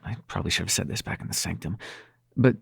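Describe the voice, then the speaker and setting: A man's voice: low voice, fast, creaky, breathy edge, dry recording, studio quality, heavily acted. A man playing a cocky, sarcastic demon prince with a tender side in an intimate audio roleplay, speaking casually with teasing and swearing. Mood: tender, concerned. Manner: casual, sweary, teasing, hesitant when sincere.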